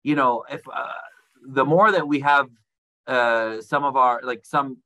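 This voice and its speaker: A man co-hosting a podcast, speaking in a measured conversational way with fillers. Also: mid-range voice, steady tone, pushed and bright. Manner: measured, with fillers like "you know". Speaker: podcast co-host.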